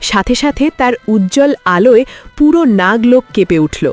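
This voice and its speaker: Female cartoon-story narrator, animated intonation, slightly high voice, light music underneath. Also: normal speed.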